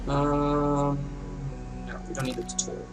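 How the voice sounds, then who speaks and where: Casual young man gaming over loud music. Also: on the high side, flat delivery, a little slow. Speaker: gaming video host. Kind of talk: casual let's play commentary. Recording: slightly distant mic, loud game music. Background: loud video game music.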